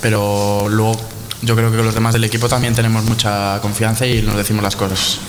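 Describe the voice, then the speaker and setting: A man, a football player, answering calmly and matter-of-factly. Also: on the low side, fairly quick. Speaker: footballer guest. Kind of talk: casual chat. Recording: reverberant room with clear background noise.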